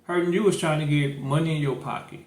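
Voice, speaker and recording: A man hosting a casual podcast, thinking aloud with frequent fillers and false starts. His voice sits a bit high for a man. The room around him is echoey. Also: fairly even intonation, quick pace. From St. Louis, Missouri. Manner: rambling, many fillers and restarts. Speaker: podcast host. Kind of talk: casual political chat.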